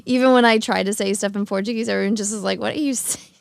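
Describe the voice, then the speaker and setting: A woman's voice: mid-range voice, fairly even intonation, quick pace, creaky, clear, resonant tone. A woman with a Californian accent, a guest on a casual panel stream, talking in an easygoing way.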